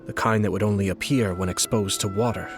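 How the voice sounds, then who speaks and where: A composed young noble lord from a video game played by a man, with a fairly low voice. He speaks formally and deliberately, calm and calculating, with clear music playing behind him. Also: lightly acted. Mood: solemn, resolute. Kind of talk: video game character dialogue.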